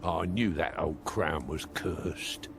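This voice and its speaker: An actor playing a wary, grumbling, practical adventurer in a video game cutscene. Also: male voice, a bass voice, slow pace, creaky, background noise, heavily acted.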